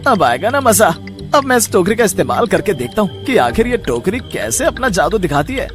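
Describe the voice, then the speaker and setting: A greedy, crafty thief acted by a man schemes to himself in a cartoon tale. The voice runs high for a male, over light background music. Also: sing-song, brisk. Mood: gleeful. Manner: scheming, muttering to himself with "hmm". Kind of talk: cartoon story dialogue.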